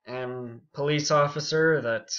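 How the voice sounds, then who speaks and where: A young man telling a story casually and unscripted, rambling and halting, with frequent asides. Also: a little high, steady tone, fairly bright tone, clean tone. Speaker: YouTube storyteller. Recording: dull, muffled lo-fi audio.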